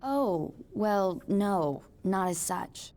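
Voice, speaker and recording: A woman's voice: medium pitch, animated, drawn-out words, a little mellow. An actress playing a chatty, eccentric female new-age mystic with a breezy, airy way of talking in a game cutscene. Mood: spacey. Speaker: motel resident, self-declared psychic.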